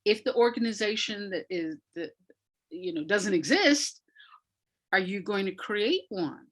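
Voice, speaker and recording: A woman speaking as an interview guest, answering conversationally in a reflective, emphatic way with pauses between phrases. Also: medium pitch, expressive, a bit bright. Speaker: interview guest, arts practitioner.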